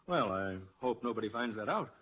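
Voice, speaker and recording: A middle-aged father in a 1940s radio play, cautious and gently resigned. His pitch swings expressively as he hesitates over his words. The vintage broadcast has faint noise behind him. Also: lively intonation, a little fast, muffled recording. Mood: gentle, resigned.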